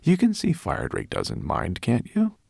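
A man's voice: mid-range voice, huge pitch swings, moderate pace, creaky, a little mellow, breathy edge, no room sound, clean studio sound, voice pushed up, full character voice. A man voicing a kind, good-humoured boy in a storybook, talking in a soothing, reasonable way. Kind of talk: storybook character voice.

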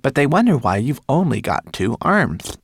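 A man's voice: mid-range voice, very animated, moderate pace, husky, pitched above the performer's own voice. A man voicing a tiny, polite male creature who interprets for others in a storybook, speaking precisely. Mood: explaining. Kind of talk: storybook character voice.

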